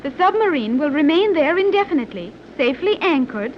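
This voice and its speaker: An actress playing a coolly confident young submarine pilot from an advanced hidden island, with formal, precise phrasing in 1930s radio serial style. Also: female voice, high-pitched, big pitch swings, a little fast. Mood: calm, matter-of-fact. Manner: formal, precise, stilted phrasing without contractions. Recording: dull, noisy old 1930s radio recording.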